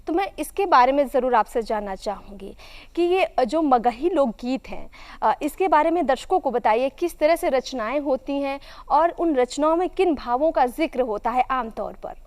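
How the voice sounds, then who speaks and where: A woman's voice: high voice, animated, moderate pace, hardly any pauses, a little mellow. A woman with an Indian accent hosting a broadcast interview, polite and appreciative, putting long questions to a guest. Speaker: TV interview host.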